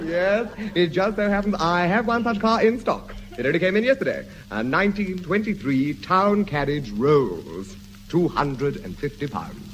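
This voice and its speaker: A man's voice: on the high side, lively intonation, moderate pace, fairly bright tone, soft background music. An English man acting a snooty, fawning luxury car salesman in a 1950s radio comedy, speaking with affected, disdainful refinement. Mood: smooth, salesmanlike. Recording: noisy old archive radio comedy recording.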